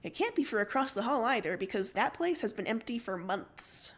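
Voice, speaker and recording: Woman narrating fiction, slightly high voice. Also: sing-song, a little fast. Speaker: story narrator. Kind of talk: expressive fiction reading on a livestream.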